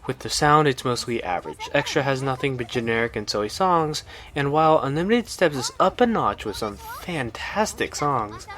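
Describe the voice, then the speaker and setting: A man's voice: medium pitch, expressive, normal speed, a bit bright. A man narrating a scripted video game review as the reviewer.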